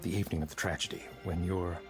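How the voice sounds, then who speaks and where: An actor playing a middle-aged financial consultant, unsettlingly polite and prying, with apologetic hesitations, in a vintage TV drama. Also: male voice, deep voice, level tone, fast talker. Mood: probing, apologetic. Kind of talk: mid-century American TV drama acting. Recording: vintage TV soundtrack with music behind.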